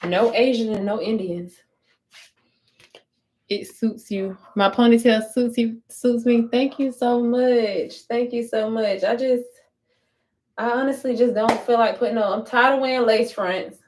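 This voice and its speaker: A woman's voice: on the high side, fairly even intonation, a little slow, darkish tone. A woman chatting casually on a home livestream while doing her hair, blunt and profane, often repeating herself. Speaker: livestream vlogger. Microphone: phone livestream.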